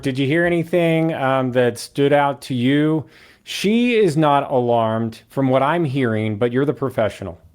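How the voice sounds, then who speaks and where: A man's voice: medium pitch, unhurried, a little mellow, clear, resonant tone. A man hosting a true crime show, talking conversationally as he interviews a guest. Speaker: podcast host and interviewer.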